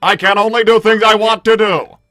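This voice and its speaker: A man's voice: high voice, fairly even intonation, a little fast, pushed and bright, soft background music. A man voicing a stubborn combat robot with stilted, emphatic declarations, in improvised cartoon roleplay. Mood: stubborn, indignant. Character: blunt, stubborn combat robot, speaks in labelled statements.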